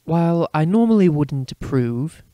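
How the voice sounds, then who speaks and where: Guy doing expressive fanfic story characters, voice kinda high, dry recording. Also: moderate pace, no room sound, muffled recording, lightly acted. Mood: stern, disapproving. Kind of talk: dramatic reading of fan fiction dialogue.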